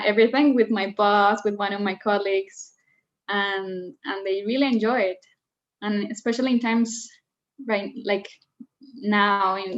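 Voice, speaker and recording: A woman's voice: medium pitch, dull audio. A woman from Colombia, speaking as a guest in a casual interview, earnest and full of fillers and restarts.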